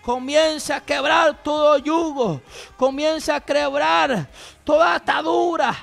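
A man leading fervent intercessory prayer, shouting and pleading in repeated commands, breaking into tongues. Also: very high voice, fairly even intonation, takes it slowly, vocal fry.

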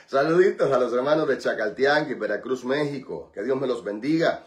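Fervent praying radio pastor, echoey. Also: male voice, medium pitch, lively intonation, a little slow. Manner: fervent repetitive praying, then chatty and joking. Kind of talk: radio prayer and call-in program.

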